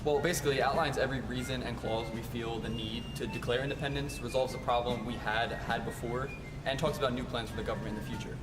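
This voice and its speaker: A man's voice: medium pitch, steady tone, quick pace, over music, close to an everyday voice. A man playing an earnest, resolute colonial statesman, giving formally worded, scripted interview answers. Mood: matter-of-fact. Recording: noisy amateur outdoor recording, traffic.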